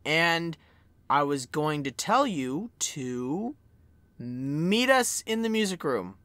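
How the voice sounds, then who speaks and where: A performer voicing a flustered, awkward young female squad leader in a cartoon parody dub, speaking haltingly. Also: medium pitch, big pitch swings, a bit bright, no echo. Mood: awkward bluffing.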